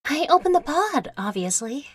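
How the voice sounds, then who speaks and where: A woman's voice: high-pitched, big pitch swings, moderate pace, no room sound, studio quality. A woman playing a boastful, stubborn genius engineer in a sci-fi roleplay, pompous and theatrical. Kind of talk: ASMR audio roleplay acting.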